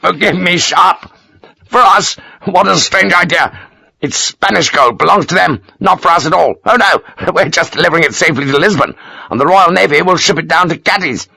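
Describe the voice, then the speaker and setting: A man voicing a gruff, pious older army major who talks in curt, self-important bursts. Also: fairly high pitch, animated, moderate pace, bright, forceful tone, no room sound, voice pushed up, heavily acted. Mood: lecturing. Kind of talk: audiobook character voice.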